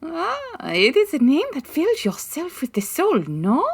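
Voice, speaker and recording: An actress playing a sultry, melodramatic French temptress who speaks broken English with dramatic pauses in a comic radio drama. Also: female voice, high-pitched, huge pitch swings, exaggerated voice. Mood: seductive, mournful. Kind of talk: comedic old-time radio detective acting.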